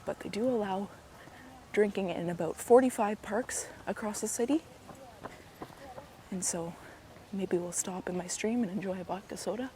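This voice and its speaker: A woman, hoarse and a bit raspy from a cold, chatting casually as she walks through a park and vlogs on a handheld camera, often dropping into soft asides. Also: normal speed, long pauses, slight background noise. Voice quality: raspy, slightly deep. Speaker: walking vlogger. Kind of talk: casual walking commentary. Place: outdoors in a park while walking. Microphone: handheld gimbal camera mic. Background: faint outdoor ambience.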